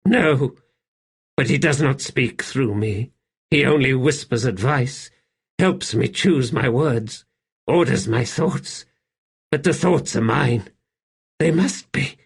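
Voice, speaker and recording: A man voicing a young emperor in an audiobook, imperious yet fragile and weary, with a halting, strained delivery. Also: mid-range voice, gravelly, husky, voice pushed up, heavily acted. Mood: brittle, insistent. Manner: halting, strained, swinging between command and fragility. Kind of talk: audiobook character acting.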